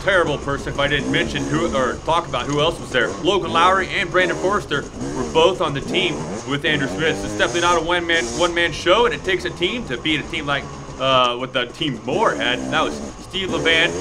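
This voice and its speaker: Young male motovlogger, excited rambling trail commentary, gravelly edge, helmet cam under engine roar. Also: a little high, brisk, background noise. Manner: excited, rambling, cheering on riders. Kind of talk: casual race motovlog commentary. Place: riding a dirt bike on a wooded trail, outdoors.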